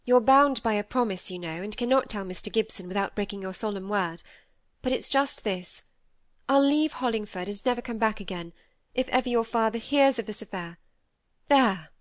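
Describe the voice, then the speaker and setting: A woman's voice: medium pitch, normal speed, no echo, lightly acted. A woman voicing a nervous, scornful young woman in an audiobook, emphatic and self-pitying. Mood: agitated, defiant. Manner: emphatic, agitated. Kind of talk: acted audiobook dialogue.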